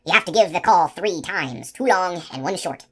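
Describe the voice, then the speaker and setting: A woman voicing a simple-minded male outlaw sentry with a high, trembling, cheerfully obliging voice, for an audiobook. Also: animated, moderate pace, husky.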